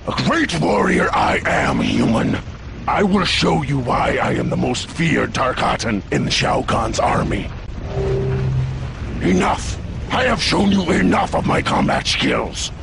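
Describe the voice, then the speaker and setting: A male actor playing a brutal, proud, menacing monster warrior in a fighting game, with a growling, gravelly voice. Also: mid-range voice, very animated, takes it slowly, heavy background noise, full character voice. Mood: proud, gruff. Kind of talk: fighting game cutscene acting.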